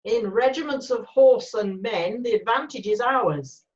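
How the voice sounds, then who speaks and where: Woman as a slow-spoken, resolute cavalry officer. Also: on the high side, darkish tone, lo-fi sound, close to an everyday voice. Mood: brisk, confident. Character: resolute, formal male British cavalry officer. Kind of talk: amateur screenplay read-through.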